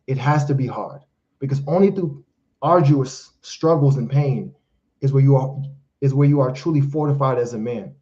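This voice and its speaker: A man's voice: mid-range voice, moderate pace, warm tone, lo-fi sound. A man whose first language is Haitian Creole, hosting a live Q&A as a life coach, giving emphatic, preachy advice and calling listeners fellas and brother. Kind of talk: live-stream Q&A, motivational advice.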